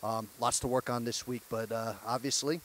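A young man hosting a post-game show, asking upbeat, brisk questions on a handheld mic. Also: mid-range voice, steady tone, a bit bright, slight background noise. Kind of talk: sports interview. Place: courtside set in an empty arena.

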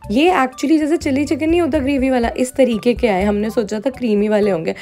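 A woman's voice: high-pitched, fairly quick, a little mellow. A woman giving a casual food review voice-over, switching between two languages. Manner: switches between Hindi and English. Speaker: vlogger reviewing a cafe. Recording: music behind the voice. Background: background music.

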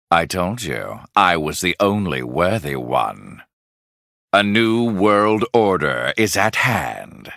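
An arrogant, godlike male villain from a fighting game. His voice is very sharp and forceful, with a creaky edge. He delivers clipped, menacing, condescending lines extremely slowly. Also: sits low, dry recording, studio quality, full character voice. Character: arrogant, cold male supervillain with god complex.

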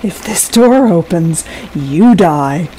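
An actress playing a volatile, threatening rental guest in a horror audio drama, speaking with contempt and menace. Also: female voice, fairly low pitch, lively intonation, warm tone, slight background noise, poor audio. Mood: taunting. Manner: menacing, slow and deliberate, contemptuous.

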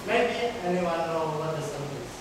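A teenage male student with an Indonesian accent, giving a halting classroom presentation as he searches for words in English. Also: on the high side, lively intonation. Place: school classroom, indoors. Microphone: distant camera. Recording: echoey noisy classroom from far off.

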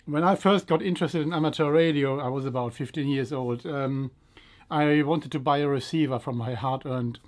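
A man in his fifties giving a casual gear demo as a hobbyist host, pausing hesitantly mid-sentence. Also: medium pitch. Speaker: radio hobbyist and video host. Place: small indoor room full of radio gear. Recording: dull lo-fi sound with loud radio noise.

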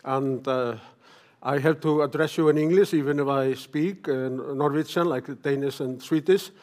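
An older man with an Icelandic accent giving a conference lecture, halting, often clearing his throat. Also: medium pitch, steady tone, a little mellow. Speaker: professor giving a talk. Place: conference hall stage, at a lectern.

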